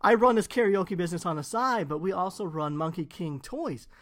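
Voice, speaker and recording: A man's voice: sits high, expressive, a little fast, a bit bright. A man voicing a six-foot floating monkey who runs a karaoke bar, talking like a friendly salesman. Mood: chummy. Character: tall floating monkey karaoke owner, chummy.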